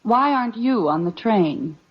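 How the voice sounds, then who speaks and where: An actress playing a patient wife and mother in an old-time radio comedy, gently reproving. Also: mid-range voice, expressive, measured pace, natural voice. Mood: chiding. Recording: dull old radio recording.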